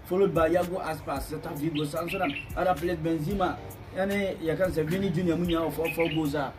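A man in his twenties with a Ghanaian accent gives casual football commentary as a co-host. He talks fast in a fairly high voice, with music clearly audible in the background. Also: steady tone. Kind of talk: casual sports commentary, mixing English with a local language. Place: small bare indoor room, seated at a table behind a laptop.

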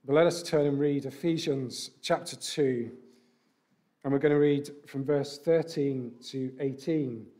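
A man, preaching a sermon at a lectern mic in a church hall, a little croaky from a cough, talking conversationally with rhetorical questions. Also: mid-range voice, steady tone, measured pace, warm tone. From England. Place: large church hall with brick wall, indoors. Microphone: stand mic at a lectern.

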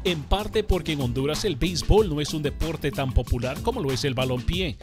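A man reading scripted documentary narration in a smooth, even manner. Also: on the high side, moderate pace. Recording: voice-over with music behind.

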